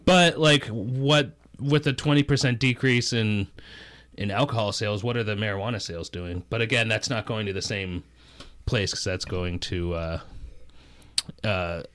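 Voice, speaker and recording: A man's voice: on the low side, normal speed, a bit bright. A man co-hosting a podcast, talking in an informal, conversational style. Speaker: podcast co-host. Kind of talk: casual panel chat.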